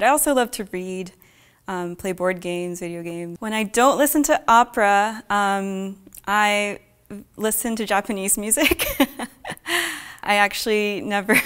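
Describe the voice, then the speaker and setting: A young woman from the United States talking casually in an interview, soft-spoken, with light laughs and thoughtful pauses. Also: mid-range voice, smooth. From Fairfax, Virginia. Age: young adult. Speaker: young artist pianist.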